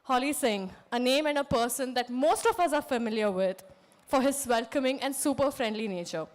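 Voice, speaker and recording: A young woman hosting a live student event on stage, her voice hoarse from screaming, introducing the next guest with excited exclamations. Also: sits high, moderate pace. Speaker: emcee and student association president.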